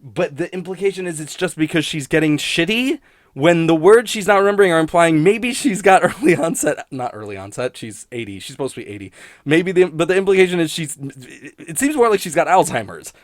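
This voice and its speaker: A man's voice: on the high side, very animated, a little fast, no echo, studio quality. A man giving a casual, rambling spoken review in a blunt, sweary, grumbling manner. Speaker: host of a TV criticism show.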